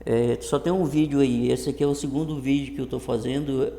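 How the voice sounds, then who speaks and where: Gravelly middle-aged northern Brazilian man, echoey room. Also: medium pitch, fairly even intonation, warm tone. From Brazil, Rondônia. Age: early 50s. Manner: halting, often repeats and restarts words. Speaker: vlogger introducing his channel. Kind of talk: casual talk to camera. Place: improvised untreated home room. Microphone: computer webcam setup. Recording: echoey improvised room, strong reverb.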